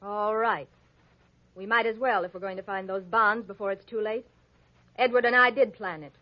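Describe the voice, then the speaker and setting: A woman's voice: medium pitch, steady tone, normal speed, lightly acted. An actress playing a scheming, manipulative young woman in a 1940s radio drama, with a theatrical, pleading manner. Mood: defiant. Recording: dull old radio broadcast recording.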